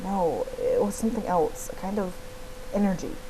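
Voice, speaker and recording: A woman's voice: lowish voice, very animated, normal speed. Gamer girl doing young hero rider, earnest n a bit defensive, emotional, noisy recording. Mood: earnest, explaining.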